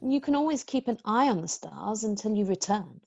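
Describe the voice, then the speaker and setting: A woman playing a prim, brisk, kindly nanny in an amateur script read-through. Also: mid-range voice, expressive, vocal fry, mellow, lo-fi sound.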